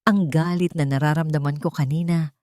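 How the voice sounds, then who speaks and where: A woman's voice: low-pitched, steady tone, normal speed, dark tone, dry recording, studio quality. A woman narrating a first-person love story audio drama, earnest and dramatic in her reading.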